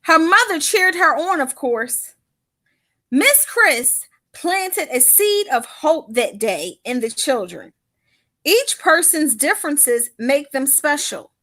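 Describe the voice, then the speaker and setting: A woman's voice: high-pitched, big pitch swings, takes it slowly, sharp tone, no echo. A woman who writes children's books, reading her story aloud to kids and then talking about it in an upbeat, motivational way. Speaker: children's book author.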